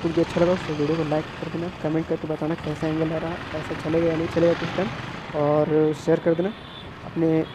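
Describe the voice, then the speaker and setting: A man's voice: fairly high pitch, level tone, a little fast, background noise. Young man vlogging from a scooter. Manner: rambling, repeats himself, chatty. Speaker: moto vlogger. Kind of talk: casual vlog narration while riding. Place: outdoors on a scooter in road traffic. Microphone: phone on helmet chin mount.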